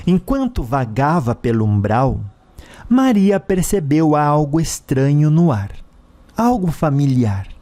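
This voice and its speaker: A Brazilian man narrates a dramatic story in a soft, dark-toned voice. He takes his time, breaking sentences into short, theatrical phrases with deliberate pauses between them. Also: on the high side.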